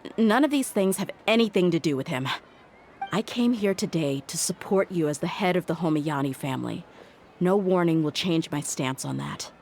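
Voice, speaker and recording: A woman's voice: lowish voice, expressive, moderate pace, darkish tone, a little hiss or hum. An actress playing a proud, principled young woman mercenary in a video game, speaking in an earnest, direct and respectful way. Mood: earnest, resolute. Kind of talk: video game story voice acting.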